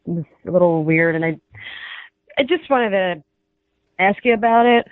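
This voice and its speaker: A woman's voice: fairly low pitch, lively intonation, moderate pace, dry recording. A woman calling in to a radio show, having an emotional conversation over the telephone. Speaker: caller, wife on a radio prank call. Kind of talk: emotional phone confrontation. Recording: dry, muffled phone line sound.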